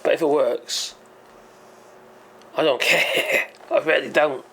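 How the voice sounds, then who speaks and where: Brief: hoarse male channel host, gravelly and slightly high, rambling and chatty. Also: fairly even intonation, slow, a bit bright, a little hiss or hum. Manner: rambling, hesitant, self-correcting. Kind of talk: casual explainer monologue.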